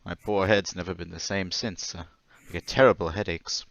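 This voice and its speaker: A man's voice: low voice, steady tone, normal speed, gravelly, fairly bright tone, muffled recording. A man playing a nervous, befuddled older war veteran in a radio play, stammering and hesitant. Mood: confused, troubled. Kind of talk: old-time radio play acting.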